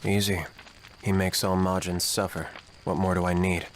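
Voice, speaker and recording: A man voicing a terse, stoic demon warrior in an anime video game, curt and measured. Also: sits low, flat delivery, a little hiss or hum, natural voice.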